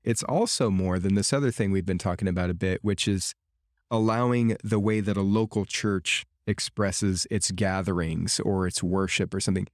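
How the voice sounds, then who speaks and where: Thoughtful low-voiced male podcast host. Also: steady tone, moderate pace, dry recording, studio quality. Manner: thoughtful, building an argument. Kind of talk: podcast conversation.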